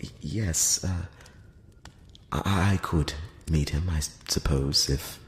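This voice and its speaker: Guy doing a polite curious elf prince, asmr fantasy rp, slow soft halting talk up close, big reverby room with some hiss. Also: a bass voice. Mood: pleased, shy. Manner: soft-spoken, hesitant, formal and courtly. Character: courteous, curious male elf prince and secret emissary. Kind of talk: intimate ASMR fantasy roleplay with whispering. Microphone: close-up microphone. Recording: roomy long reverb, background hiss.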